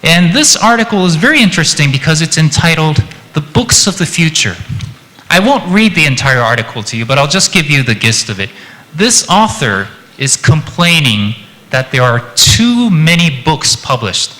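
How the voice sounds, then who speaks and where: Bright-voiced Japanese man presenting. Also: fairly high pitch, normal speed, in a large hall, rough recording. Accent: American-influenced English. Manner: lively, chatty, jokes with the audience. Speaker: conference presenter. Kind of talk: conference presentation. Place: conference hall.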